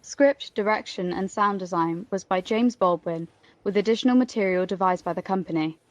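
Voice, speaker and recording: A woman's voice: mid-range voice, moderate pace, no echo, highs cut off. A woman reading scripted closing credits as an announcer.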